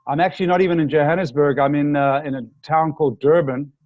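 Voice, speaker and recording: A man joins a video call late, his voice a bit higher than most. He sounds apologetic, and the line is dull and dry. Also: steady tone, quick pace, dry recording. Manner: apologetic, warm thanks. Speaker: nonprofit partner.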